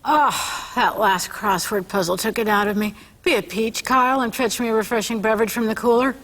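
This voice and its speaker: A woman's voice: a little high, fairly quick, a bit bright, rough recording. A woman playing a wry, self-important store clerk in a sitcom read-through, with an airy, sarcastic manner. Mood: languid, imperious. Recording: background noise in a room.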